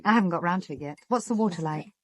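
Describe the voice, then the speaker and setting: An actress playing an inquisitive, principled female employee in an audio drama, direct and quietly earnest in her questions. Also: on the low side, racing, dry recording, lightly acted. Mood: friendly. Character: inquisitive, principled woman working as a temporary employee. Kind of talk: naturalistic audio drama dialogue.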